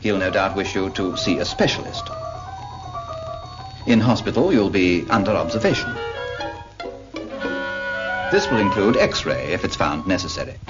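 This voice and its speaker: A man's voice: low voice, sing-song, normal speed, pauses often. A British man narrating a 1940s public information cartoon in a patient, explanatory manner. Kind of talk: 1940s scripted film narration, addressing a character. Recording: dull 1940s film soundtrack with music.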